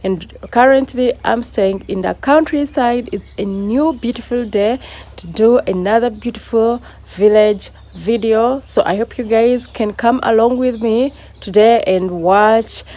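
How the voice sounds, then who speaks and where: A woman's voice: a little high, unhurried, warm tone. Upbeat Ugandan woman vlogging outdoors. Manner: upbeat, repetitive, chatty. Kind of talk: casual vlog talk to camera. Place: outdoors in a rural coffee garden.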